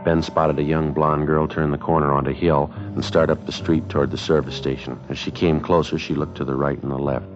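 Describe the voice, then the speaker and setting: An American man playing a terse, unflappable police detective, narrating in clipped, deadpan 1950s radio drama style. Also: extremely low pitch, fairly quick, hardly any pauses. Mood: deadpan, factual. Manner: deadpan, matter-of-fact. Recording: muffled 1950s radio broadcast with music.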